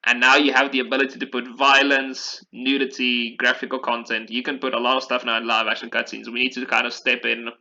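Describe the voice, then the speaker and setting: A man's voice: mid-range voice, level tone, quick pace, creaky, few pauses, sharp tone, highs cut off. A man hosting a podcast, talking casually and with opinions about video games, often repeating phrases for emphasis. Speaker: podcast host.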